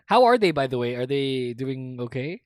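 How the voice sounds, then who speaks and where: Casting note: woman in her late twenties, Filipino accent, very low voice, dry close mic, chatty podcast host who rambles. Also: sing-song, a little slow, a little mellow, dry recording. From Philippines. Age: 20s. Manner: rambling, with many fillers and restarts. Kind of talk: casual chat, mixing English and Filipino.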